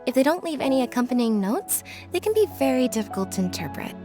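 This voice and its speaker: An actress playing a proud, chatty young ship's navigator in a video game, explaining things with eager enthusiasm. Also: female voice, high voice, sing-song, fast talker, music in the background. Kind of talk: scripted video game dialogue.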